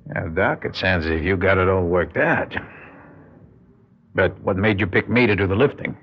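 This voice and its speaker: A deep, creaky-voiced male con man, world-weary and wary. He talks in a wry, street-wise way, like classic radio crime drama, on a dull lo-fi recording. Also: moderate pace. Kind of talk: classic radio drama acting with first-person narration.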